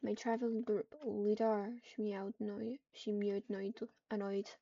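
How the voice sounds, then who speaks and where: A woman casually narrating a roleplay aloud as she types, in a halting way with repeated phrases. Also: mid-range voice, little pitch movement, gravelly, warm tone, far from the mic. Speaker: roleplay gamer. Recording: dull lo-fi mic, noisy, keyboard clicks.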